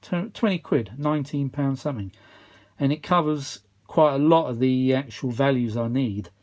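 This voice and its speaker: A man's voice: mid-range voice, moderate pace, darkish tone. Rambling man, casual and mildly weary. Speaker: vintage audio repair vlogger. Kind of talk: casual unscripted workshop chat.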